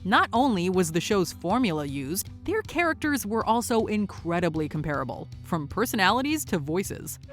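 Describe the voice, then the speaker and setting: A woman's voice: medium pitch, very animated, moderate pace, hardly any pauses. A woman hosting and narrating a scripted countdown video. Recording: music behind the voice. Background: background music.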